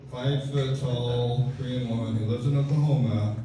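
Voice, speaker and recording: A man's voice: medium pitch, steady tone, moderate pace, mellow, a little hiss or hum. A man in his fifties from Texas doing a stand-up comedy set, telling dry, self-deprecating stories with lots of casual fillers. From Texas, USA. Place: comedy club stage. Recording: echoey club audio from a distant mic. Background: audience laughter.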